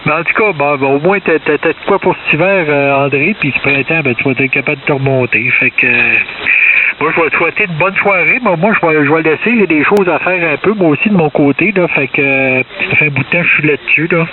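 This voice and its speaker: A man's voice: fairly high pitch, fast, distant mic. Tinny, hissy radio voice, Quebec man. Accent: Quebec French. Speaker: amateur radio operator. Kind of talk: casual ham radio chat.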